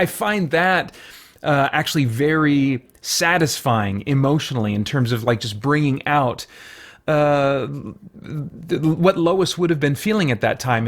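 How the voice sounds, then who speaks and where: A man with a mid-pitched voice delivers casual review commentary as a show host. He speaks off the cuff, often feeling for the right word partway through a thought. The delivery is conversational and opinion-led.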